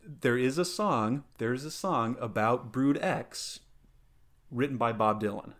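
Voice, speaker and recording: A man co-hosting a podcast, talking in a casual, excitable way that jumps up in pitch when something amuses him. He restarts sentences often and pads them with fillers. His voice sits at a middle pitch. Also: a little slow. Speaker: podcast co-host. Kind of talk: casual chat.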